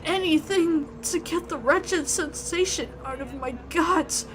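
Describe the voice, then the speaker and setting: A woman narrating a horror visual novel in the first person, reading with expressive, suspenseful delivery. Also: very high voice, a little slow, noisy background.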